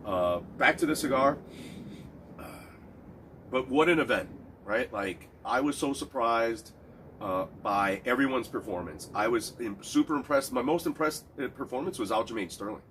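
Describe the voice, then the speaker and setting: A man's voice: medium pitch, expressive, moderate pace, a bit bright, a little hiss or hum, poor audio. A man with a stuffed-up nose, rambling casually in a solo talk about fights and bets, with many restarts and fillers. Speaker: cigar and MMA betting reviewer.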